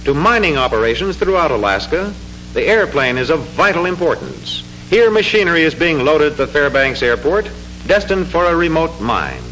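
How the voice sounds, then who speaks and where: A man narrating a 1940s educational film in a formal, measured, declamatory manner, reading from a script. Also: a little high, big pitch swings, fairly bright tone, poor audio. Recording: 1940s film soundtrack with music. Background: music.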